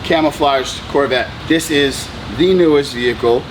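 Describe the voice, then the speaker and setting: A man's voice: mid-range voice, moderate pace, background noise. A man with a Boston accent hosting a casual vlog tour of his car customization shop, brash and profane. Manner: brash, swears freely, hyped. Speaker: shop owner and vlog host.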